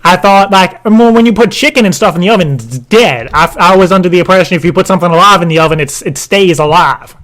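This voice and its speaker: An earnest, clueless dad acted by a man talks in a high-pitched voice. He is deadpan and stubborn, stammering and repeating himself through a comic prank call. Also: quick pace, few pauses. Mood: stubbornly puzzled. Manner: hesitant, stammering, repetitive, deadpan.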